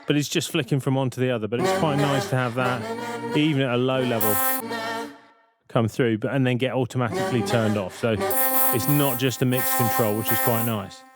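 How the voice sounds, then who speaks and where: A man narrating a software tutorial in an informal, explanatory way, thinking aloud as he goes. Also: medium pitch, a little fast, over loud music. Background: synth and vocoder demo sounds.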